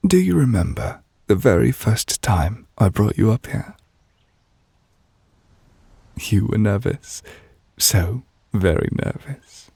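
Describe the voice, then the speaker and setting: A man acts out a soft-spoken male witch, deeply in love and quietly possessive. His voice is hushed and slow, with a gravelly fry at its edges. He speaks with gentle, formal refinement, very close and dry, as in a romantic ASMR audio roleplay. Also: big pitch swings, no room sound, full character voice. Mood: fond, teasing. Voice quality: breathy, hushed. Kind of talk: ASMR romantic roleplay, intimate character acting.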